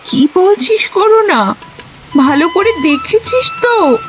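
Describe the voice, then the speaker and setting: A woman plays a worried village mother in a cartoon, high-voiced and anxious. Her delivery is slow and lamenting, with music behind it. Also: lively intonation, heavily acted. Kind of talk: animated cartoon story dialogue.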